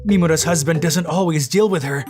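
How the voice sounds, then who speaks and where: A woman's voice: low-pitched, fairly quick, darkish tone, lightly acted. A woman voicing a flustered young male office worker in a manga dub, exclaiming and muttering his inner thoughts. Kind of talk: manga dub narration and acting. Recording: faint background music.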